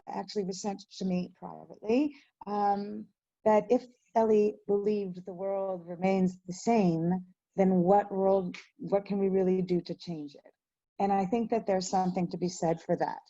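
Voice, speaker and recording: Woman, webinar host, mellow tone, earnest, emphatic stresses, reflective informal talk, muffled online audio. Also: mid-range voice, steady tone, unhurried, dry recording. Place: indoors on a webinar call.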